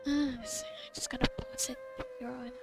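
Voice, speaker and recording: Creaky, high-voiced woman gamer. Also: slow. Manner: rambling, talking to herself. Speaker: gamer recording a playthrough. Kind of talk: casual gameplay commentary and reading aloud. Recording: game music behind the voice. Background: video game music.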